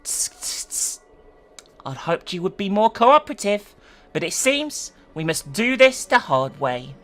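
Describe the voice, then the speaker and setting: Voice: mid-range voice, sing-song, measured pace, a bit bright, faint music behind. A narrator voicing a cocky twelve-year-old boy bully who taunts with mock-polite menace. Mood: menacing.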